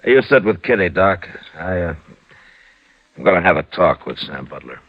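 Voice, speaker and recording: An American actor playing a watchful, dry-humored US Marshal in a 1950s radio western, with terse, understated delivery. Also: male voice, big pitch swings, moderate pace, full character voice. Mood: grave. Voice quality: deep. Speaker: lead actor. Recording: dull vintage radio recording.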